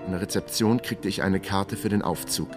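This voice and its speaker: A man's voice: deep voice, brisk, over loud music, natural voice. An actor playing a wry, broke ex-detective in his forties, narrating a radio crime drama in a laconic, self-mocking way. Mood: dry, weary. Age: 40s.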